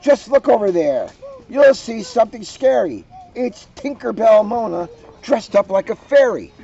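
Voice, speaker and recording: A man's voice: high-pitched, expressive, unhurried, vocal fry, warm tone, dull audio, voice pushed up, full character voice. A man voicing a mocking witch who taunts children in a storybook, with exclamatory delivery. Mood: taunting.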